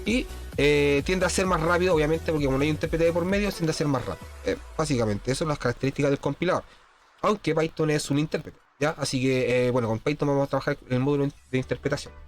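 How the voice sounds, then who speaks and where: A man's voice: a little high, quick pace, soft background music. A man, slightly congested with a cold, casually walking through quiz answers in a programming tutorial and peppering his talk with "¿cierto?". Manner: keeps asking "¿cierto?", many fillers and restarts. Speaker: programming course instructor.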